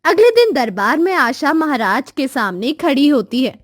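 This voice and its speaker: A woman's voice: high voice, sing-song, moderate pace, faint music behind. A woman giving scripted storybook narration as the narrator of a cartoon tale.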